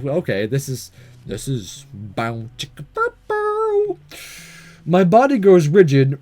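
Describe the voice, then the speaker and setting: A man's voice: on the high side, dark tone. A man hosting a let's play, reading a visual novel aloud and breaking off with laughing, jokey asides. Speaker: gaming video host. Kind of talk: let's play narration and commentary.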